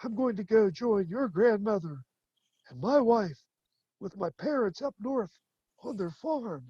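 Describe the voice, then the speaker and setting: A man playing an old, half-deaf grandfather shoemaker who talks in a slow, wandering way. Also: high-pitched, lively intonation, husky, no room sound, highs cut off, full character voice. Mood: gentle. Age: older. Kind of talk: stage play acting.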